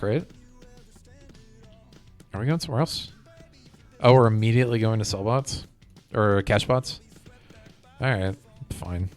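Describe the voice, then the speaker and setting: Casual, rambling male game streamer. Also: fairly low pitch. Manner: rambling, hops between thoughts. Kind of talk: casual live-stream gaming chat.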